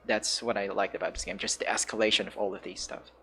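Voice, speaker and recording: A man's voice: lowish voice, fairly even intonation, fast talker, bright, forceful tone, slightly rough. A man with a Filipino accent giving casual game commentary as a streamer, hesitant and searching for words. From Philippines. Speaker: streamer and English teacher.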